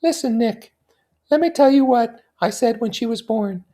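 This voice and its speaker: A very high, swooping young society lady played by a man reading a novel aloud, gushing and theatrical. She sounds flighty and cynical, stretching her lines for drama. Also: expressive, normal speed, mellow. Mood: confiding, dramatic.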